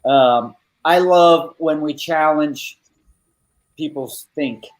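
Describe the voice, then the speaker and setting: A man's voice: fairly high pitch, takes it slowly. Rambling male panel co-host. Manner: rambling, restarts often. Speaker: show co-host. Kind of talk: casual panel chat.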